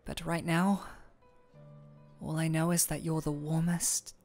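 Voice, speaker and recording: A young woman playing a shy, smitten former coworker in an intimate romantic audio roleplay, speaking softly and haltingly. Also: low voice, level tone, soft background music. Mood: tender, content. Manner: shy, halting, soft-spoken.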